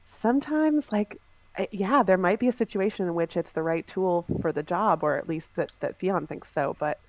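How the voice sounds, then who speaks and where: A woman's voice: on the low side, moderate pace, a little mellow. A woman player talking casually about a tabletop game, thinking aloud with many restarts and fillers.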